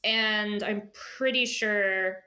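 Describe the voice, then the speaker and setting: A woman's voice: mid-range voice, steady tone, takes it slowly, pushed and bright. A woman speaking as an editor and guest in a casual interview, informal, often dropping into asides and imagined quotes.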